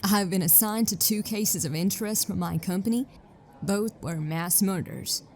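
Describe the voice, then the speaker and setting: A woman's voice: medium pitch, moderate pace, darkish tone, faint music behind, close to an everyday voice. A woman playing an impatient, businesslike detective with a dry, curt manner in a sci-fi audio drama.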